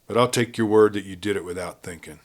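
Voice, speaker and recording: A man's voice: fairly low pitch, fast, natural voice. A man voicing a wary, principled outlaw lieutenant in a Western story, with guarded, earnest cowboy speech. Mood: wary, wounded.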